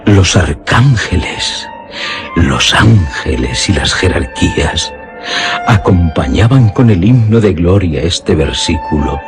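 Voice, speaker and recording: A man narrates a suspense tale for radio over music. His deep voice rises and falls dramatically, slow and solemn, with theatrical emphasis.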